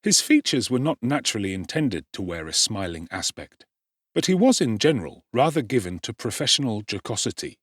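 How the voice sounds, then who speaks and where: A man's voice: fairly low pitch, sing-song, brisk, slightly rough, no room sound, clean studio sound. A man narrating an audiobook in a wry, theatrical storytelling manner.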